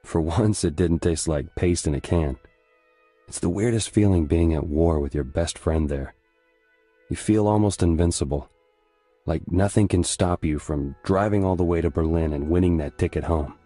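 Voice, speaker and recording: An actor playing a weary young American paratrooper squad leader, narrating his war reflectively in a somber, measured way. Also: low voice, warm tone, no room sound, soft background music. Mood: wistful. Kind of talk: scripted first-person war narration.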